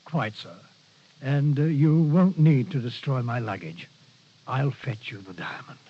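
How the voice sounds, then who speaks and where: A man playing a formal, deferential but devious manservant in a 1950s radio drama, courteous and hesitant, with a husky voice. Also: mid-range voice, unhurried. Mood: resigned, polite. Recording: muffled vintage radio recording.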